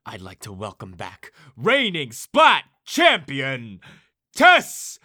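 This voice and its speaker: A man's voice: medium pitch, wildly swooping pitch, slow pace, sharp tone, exaggerated voice. A man playing a slick, showy game show host in an audio drama, with theatrical announcer delivery.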